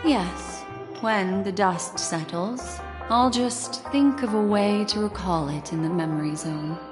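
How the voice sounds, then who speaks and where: A woman voicing an elegant, composed and mysterious game character, courteous and softly teasing in manner. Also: medium pitch, slow pace, natural voice. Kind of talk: video game voice acting. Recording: long reverb, music behind.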